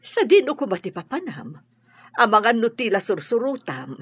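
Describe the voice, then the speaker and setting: A woman's voice: a little high, very animated, moderate pace, husky, no room sound. A woman acting as a worried elderly grandmother and mother in a radio drama, pleading and anxious. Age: older. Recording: dull lo-fi audio.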